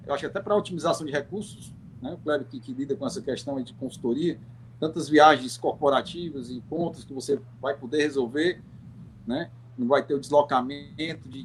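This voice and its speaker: A man giving his opinions on an online panel, thinking aloud in a reflective, halting way. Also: mid-range voice. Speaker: guest. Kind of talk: unscripted opinion talk on a live online panel. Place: remote video call. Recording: clearly noisy online call audio.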